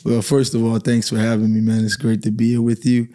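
A man in his thirties from the Bahamas, a basketball coach talking calmly as a podcast guest in a small studio. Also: mid-range voice, brisk. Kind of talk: podcast conversation. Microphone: studio mic on boom arm.

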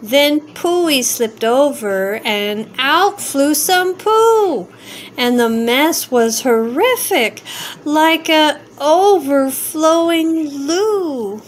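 A woman reading a rhyming children's story aloud in an expressive, drawn-out sing-song way. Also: high voice, slight background noise. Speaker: storybook reader.

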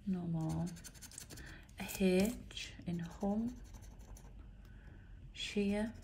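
A woman, croaky from a chest infection, chatting casually while she reads out letters and symbols on scratch cards at a table indoors. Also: mid-range voice, drawn-out words, mellow, slight background noise. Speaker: scratch card vlogger. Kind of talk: casual commentary.

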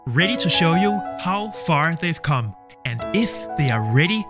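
A man's voice: fairly high pitch, sing-song, measured pace, music in the background. A man hosting a mock talent show in an upbeat, showman-like way. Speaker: host.

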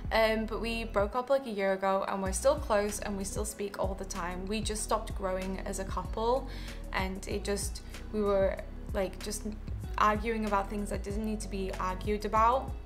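A woman in her late twenties from Yorkshire, with a hybrid Yorkshire accent, chatting candidly to camera in a relaxed Q&A vlog from her living room. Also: medium pitch. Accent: mixed Yorkshire English. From Yorkshire, England. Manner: chatty, candid, softens to a quiet tone on personal topics. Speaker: streamer and content creator. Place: living room, indoors, seated on a sofa.

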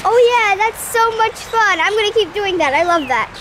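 A woman's voice: extremely high pitch, animated, moderate pace, background noise, voice pushed up. A woman voicing a cheerful young man doll who rides a motorcycle, upbeat and whiny when hurt, in a toy play video.

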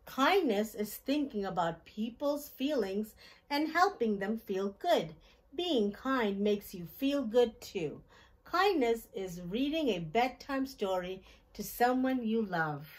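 A middle-aged woman hosting a children's storytime, reading a picture book aloud in simple, deliberate phrases and putting questions to her young listeners. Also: fairly high pitch, lively intonation. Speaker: children's storytime host and reader. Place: small decorated indoor room.

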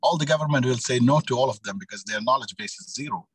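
A man in his thirties speaking informally as a youth organization leader on a video call, with halting, rambling phrasing. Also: mid-range voice, slightly rough. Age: 30s. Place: small indoor room, video call. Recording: roomy sound, distant from mic.

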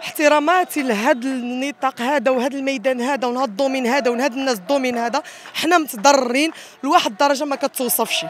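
Moroccan woman at a protest, talks super fast and high, hammers her points. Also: a little hiss or hum. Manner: emphatic, repetitive. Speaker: protesting wedding musician. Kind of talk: impassioned protest testimony. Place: outdoors in a city square. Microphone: handheld reporter microphone.

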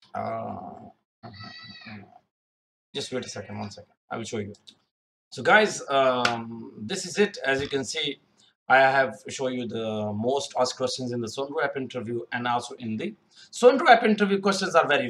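A man's voice: medium pitch, fairly bright tone, slight background noise. A young man giving unscripted interview advice over a screen recording, rambling and repeating himself with many fillers, recorded on a webcam in a small room. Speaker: youtube career advice host. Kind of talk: unscripted screen-share tutorial. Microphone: webcam in corner of screen recording.

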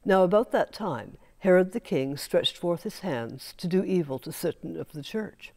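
A woman's voice: lowish voice, lively intonation, moderate pace, a little mellow. An older woman serving as lay reader, steadily reading a scripture lesson from a page. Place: large church sanctuary. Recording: long church reverb.

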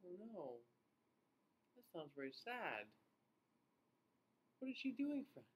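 A middle-aged man reading a picture book aloud to young children, expressively, with questions and pauses for them to answer. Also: sits high, very animated. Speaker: children's class host and teacher reading aloud. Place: small indoor room.

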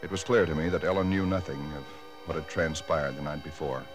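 A haunted American husband in a 1940s radio thriller. His very low voice is halting and brooding, full of dread, with loud music on the old broadcast. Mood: tense dread. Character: haunted, dread-filled husband recounting nameless horrors. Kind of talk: 1940s radio drama acting, first-person narration.

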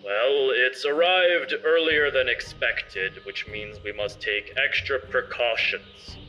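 A man's voice: medium pitch, slow pace, sharp tone, soft background music. A man voicing a formal, stern ship captain making an announcement through an intercom filter. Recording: dull, band-limited intercom sound.

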